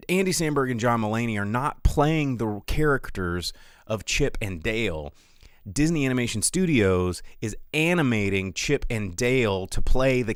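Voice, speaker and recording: A man's voice: on the low side, smooth, no room sound, studio quality. A man hosting a podcast, talking casually in a rambling, emphatic, exasperated rant. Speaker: podcast host.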